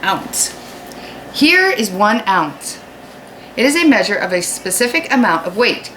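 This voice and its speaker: Female American grade-school teacher, lower-middle to average pitch, informal explanatory read-aloud for kids, clearly noisy home recording. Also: big pitch swings, unhurried. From United States. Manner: explanatory, asks questions of young listeners. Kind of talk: children's read-aloud lesson with informal asides.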